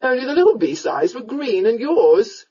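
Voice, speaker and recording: A woman voicing a drunken, roguish Regency gentleman in an audiobook, with a slurred, rambling, drawling delivery. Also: very high voice, very animated, moderate pace, breathy edge, lo-fi sound, full character voice. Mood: tipsy, amused.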